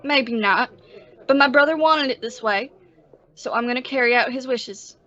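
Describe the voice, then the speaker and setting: A meek young deputy, played by a young woman, talks hesitantly in a high voice. He stammers through a western radio play with slightly dull sound. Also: moderate pace, pushed and bright. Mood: uneasy, dutiful. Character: timid, soft-spoken young male reluctant deputy. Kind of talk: old-time western radio drama acting.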